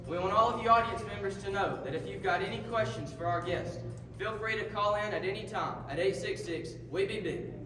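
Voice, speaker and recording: A man's voice: high-pitched, fairly quick, bright, forceful tone, distant mic. A man playing a folksy, wry radio show host puppet in a comedy skit. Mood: upbeat showman. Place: live performance in a large hall. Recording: echoey hall from afar, background noise.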